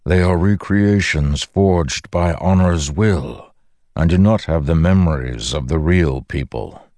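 A man voicing an ancient, solemn male storm spirit in a fantasy audiobook, with a rumbling voice and slow, formal pronouncements. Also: darkish tone, no room sound, clean studio sound. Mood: solemn, dismissive.

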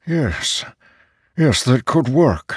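A man voicing an aged, grave immortal herald, slow-spoken. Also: medium pitch, sing-song, slightly rough, no room sound, studio quality, pitched above the performer's own voice, full character voice. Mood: urgent, resolute. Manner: solemn, deliberate.

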